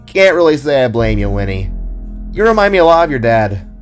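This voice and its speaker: A man's voice: mid-range voice, very animated, brisk, soft background music, voice pushed up, natural voice. A man voicing a friendly, thoughtful young musician with an easygoing, musing way of talking in an audio drama. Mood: teasing, fond.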